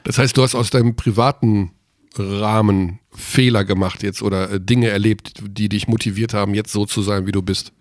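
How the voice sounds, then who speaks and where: A man's voice: fairly low pitch, steady tone, moderate pace, dry recording, studio quality. A man hosting a sports podcast interview, chatty and full of filler sounds. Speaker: podcast host.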